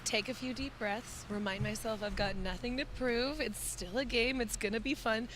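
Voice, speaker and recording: A woman's voice: on the high side, moderate pace, noisy background. Upbeat young woman esports caster. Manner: upbeat, friendly, with light laughs. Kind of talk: tournament commentary.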